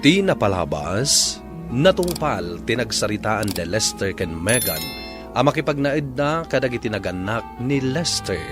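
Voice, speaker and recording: A man's voice: mid-range voice, big pitch swings, measured pace. A man delivering dramatic scripted narration as a radio drama announcer. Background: music.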